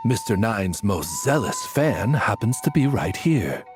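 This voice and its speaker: Formal male attendant in a game, lowish voice, expressive melody, polite, eloquent, faint music behind. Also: measured pace. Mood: reassuring. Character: courteous, formal male attendant to a grandiose young lady. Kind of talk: animated game voice acting.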